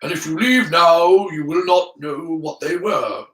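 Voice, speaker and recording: Weary old wizard headmaster played by a male reader: grave, slow, gently wounded storybook character, roomy distant sound. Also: a little high. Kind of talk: storybook character voice in audiobook reading.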